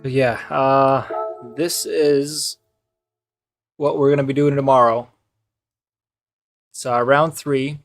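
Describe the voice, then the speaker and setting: A man whose first language is Icelandic, chatting casually and calling his sim racing live stream, with a relaxed, rambling way of talking full of fillers. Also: mid-range voice, slow pace, long pauses. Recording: loud game music behind. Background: music and car engine sounds.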